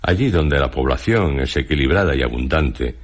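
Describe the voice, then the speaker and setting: An actor playing a reflective, grave male time traveller, in a measured, solemn radio monologue. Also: very deep voice, lively intonation. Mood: reflective, explanatory. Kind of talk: dramatized literary monologue in a radio programme.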